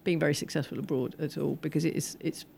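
A woman's voice: low-pitched, steady tone, quick pace, gravelly, dark tone, slightly rough. A woman speaking as an expert guest on a film discussion panel, explaining things enthusiastically and discursively. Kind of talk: post-screening panel discussion.

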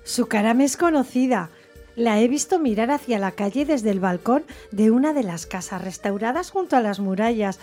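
A woman plays a wry, observant narrator in a radio play. Her voice is fairly high, delivering literary musings over faint music. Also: a little mellow, lightly acted. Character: observant female narrator, quietly wry and admiring.

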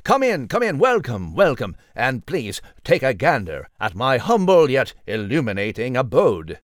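Guy doing a posh little british mouse for a kids audio drama, super polite n flowery, swoopy pitch. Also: wildly swooping pitch, moderate pace, no echo, studio quality. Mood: proud, welcoming. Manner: flowery, old-fashioned politeness. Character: genteel, pompous, cheerful little gentleman mouse. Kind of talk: children's audio drama acting.

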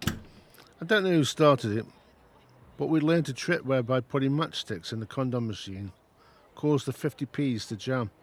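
A man's voice: medium pitch, moderate pace, lightly acted. A man playing a wry grown-up narrator looking back on his boyhood in an amateur audio drama.

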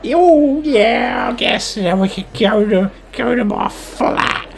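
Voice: medium pitch, slow, creaky, pitched above the performer's own voice. A performer voicing a needy, flirtatious, melodramatic woman on a date, gushing and whiny in a theatrical way. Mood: gushing, flirty.

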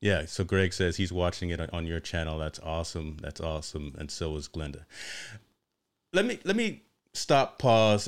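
A man hosts a casual live interview show. His voice sits very low and sounds smooth and resonant. He chats informally, repeating short phrases to drive a point home. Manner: chatty, repeats phrases for emphasis. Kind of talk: casual livestream interview hosting.

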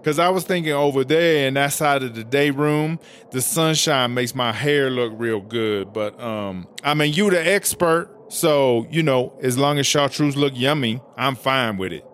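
A man's voice: medium pitch, a little slow, a bit bright, slight background noise, close to an everyday voice. A man playing a brash, vain, bossy female prison inmate in a comedic audio drama, with sassy, slangy talk. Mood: chatty, boastful. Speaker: interviewed inmate character.

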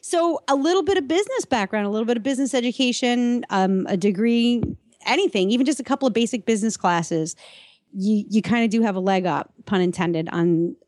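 A woman's voice: on the high side, fairly quick, a little mellow, dry recording, clean studio sound. A woman co-hosting a casual podcast conversation, explaining things in a practical, matter-of-fact way. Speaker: podcast co-host.

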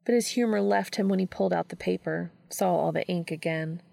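Female story reader: lowish voice, heavy vocal fry, scripted narration, very dry close sound. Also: a little fast, dark tone, dry recording. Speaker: audiobook reader.

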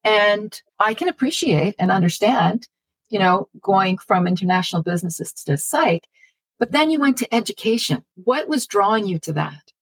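A woman's voice: medium pitch, animated, normal speed, husky, no echo, poor audio. A woman hosting a podcast interview, introducing her guest and asking questions with enthusiastic, effusive delight. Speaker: podcast host.

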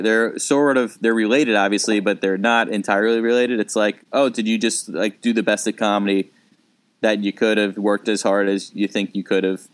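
A man's voice: lowish voice, clear, resonant tone. A man in his thirties, a comedian co-hosting a podcast, talking casually and thinking aloud with frequent restarts.

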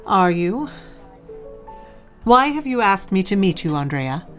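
A woman's voice: mid-range voice, sing-song, measured pace, a bit bright, soft background music. A woman playing a cold, disapproving older society mother in an audio drama, haughty and clipped in manner. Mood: withholding, stern.

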